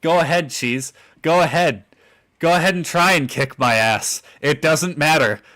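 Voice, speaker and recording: A man in his twenties with a relatively deep voice, hosting a casual chat podcast and teasing his co-hosts with frequent laughter. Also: a little slow, a bit bright. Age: 20s. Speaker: podcast host.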